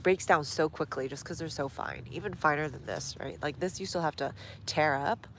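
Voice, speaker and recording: A woman's voice: deep voice, normal speed, a bit bright, a little hiss or hum. A woman with a stuffy nose hosting a casual gardening livestream outdoors, chatting to viewers as she works. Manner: chatty, reads viewer comments aloud while working.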